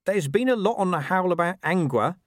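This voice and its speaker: A man's voice: high voice, animated, fairly quick, no room sound, studio quality, pitched above the performer's own voice. A man voicing a scruffy, wheedling talking dog in an audiobook, sly and colloquial. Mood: cynical, knowing. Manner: colloquial, sly, world-weary asides.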